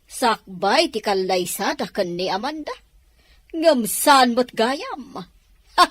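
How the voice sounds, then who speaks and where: A woman's voice: a little high, lively intonation, slow pace, a bit bright, no echo, exaggerated voice. An actress playing a chatty, outspoken woman in a family audio drama. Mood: disappointed. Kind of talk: Ilocano audio drama acting.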